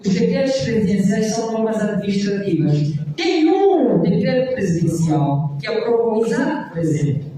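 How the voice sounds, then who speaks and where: A man's voice: high voice, very animated, moderate pace, dark tone. A man, a civic activist arguing emphatically on a debate panel, often adding rhetorical tag questions. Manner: emphatic, rhetorical, frequent "não é?" tags. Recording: echoey room, distant mic.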